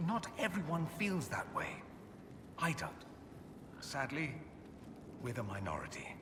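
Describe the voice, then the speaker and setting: A man's voice: on the high side, huge pitch swings, unhurried, in a large hall, noisy background. An actor playing a witty, vain, aristocratic male mage in exile, speaking with arch, theatrical eloquence in a scripted video game. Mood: earnest, impassioned.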